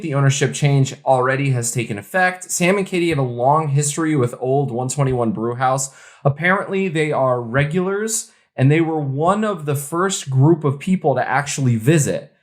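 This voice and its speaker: A man with a medium-pitched voice co-hosts a podcast. He talks in an informal, colloquial way, moving between reading out news and casual banter. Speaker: podcast co-host.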